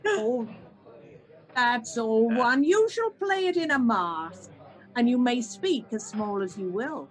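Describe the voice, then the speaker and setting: A woman playing an earnest organiser of amateur players in a Shakespearean radio play, officious and deliberate in her announcing. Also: on the high side, expressive. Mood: firm.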